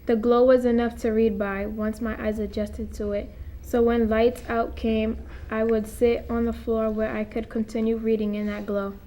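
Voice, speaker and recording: Young teenage girl, high voice, little variation in pitch, student reading aloud, faint background noise. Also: flat delivery, moderate pace, warm tone. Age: young teen, middle school student. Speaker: student reader.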